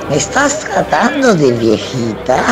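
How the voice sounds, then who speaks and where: A woman's voice: sits low, big pitch swings, drawn-out words, a crowd in the background, heavily acted, rough recording. An actress playing a jolly, chatty elderly Mother Superior in a radio soap opera, motherly and chuckling. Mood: amused, affectionate.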